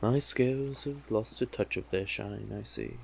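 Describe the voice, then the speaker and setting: A man acts out a male sea witch from an audio roleplay, a cursed tentacled merman with a lowish voice. He speaks very slowly in stately, elaborate phrases, coaxing the listener with doting command. Ambient noise sits clearly behind him. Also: fairly bright tone. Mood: wistful, vain. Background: ambient sound effects.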